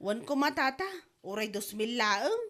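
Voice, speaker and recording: A woman's voice: on the high side, very animated, unhurried, a bit bright. A woman with an Ilocano accent playing a hot-tempered, nagging wife in a comedy drama, exclaiming and complaining. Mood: pleading.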